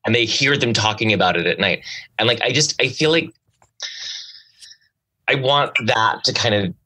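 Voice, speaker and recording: A man in a casual literary discussion, speaking haltingly and often restarting his thoughts as a panelist. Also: mid-range voice, sharp tone, poor audio. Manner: halting, searching, self-interrupting with many likes.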